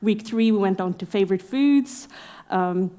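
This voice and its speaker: A woman's voice: mid-range voice, moderate pace, warm tone, smooth. A young woman from Norway speaking in a casual podcast conversation, reflective and thoughtful, with frequent fillers and laughter. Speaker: exhibiting artist guest.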